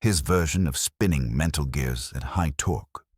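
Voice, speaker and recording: Very deep-voiced man narrating a detective story. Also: moderate pace, darkish tone, slightly rough, dry recording, studio quality. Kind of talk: scripted first-person detective story narration.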